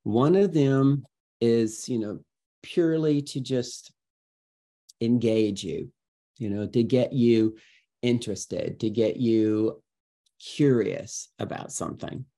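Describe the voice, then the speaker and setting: A man giving conversational interview answers as a guest educator, expressive and emphatic like a storyteller, acting out his examples. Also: mid-range voice, slow pace.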